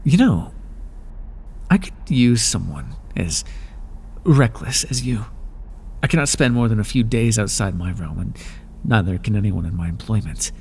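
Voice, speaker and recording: A man as a gravelly, aloof underworld god. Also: on the low side, expressive, normal speed, darkish tone, full character voice. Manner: languid, dry, sardonic. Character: male god of the underworld, aloof, dry, lonely. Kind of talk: ASMR roleplay.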